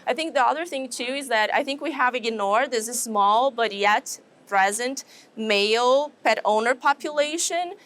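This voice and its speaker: A woman from Brazil with a Brazilian Portuguese accent, a professor answering interview questions in a measured, explanatory way with frequent fillers and restarts. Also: on the high side, a bit bright. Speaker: animal science professor, guest. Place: trade show.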